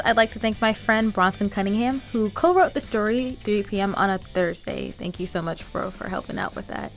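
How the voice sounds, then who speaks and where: A young adult woman hosting a radio show in a casual, scripted style. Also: fairly high pitch. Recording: faint music bed under the voice.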